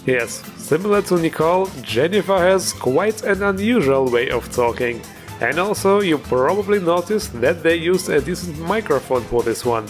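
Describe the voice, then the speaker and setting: Male gaming host, slightly high voice, playful, tongue-in-cheek narration, background music. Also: expressive, normal speed. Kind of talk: jokey scripted mod review narration.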